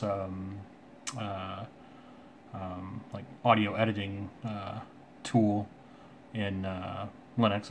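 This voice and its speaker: Hesitant man, low monotone voice. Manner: hesitant, halting delivery. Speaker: IT technician and hobbyist demonstrating a project. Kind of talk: casual unscripted project walkthrough. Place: indoors at a home desk. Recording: light background room noise.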